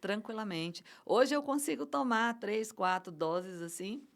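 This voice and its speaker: A woman's voice: mid-range voice, measured pace, gravelly. A middle-aged Brazilian woman speaking Portuguese as an interview guest, passionate and emotional, stumbling over restarts and fillers. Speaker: guest, event producer. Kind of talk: casual conversational interview.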